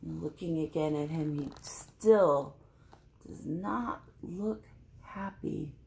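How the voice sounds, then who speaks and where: A woman storyteller reading a picture book aloud to children, conversational and expressive, with asides to young listeners. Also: low voice, slow, creaky.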